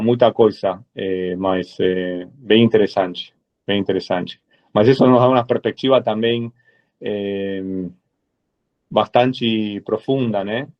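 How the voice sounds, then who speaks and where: Argentine man, low voice, dull audio. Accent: Argentine Spanish. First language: Spanish. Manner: measured, many hesitant "eh" fillers. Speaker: lawyer guest. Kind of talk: webinar presentation.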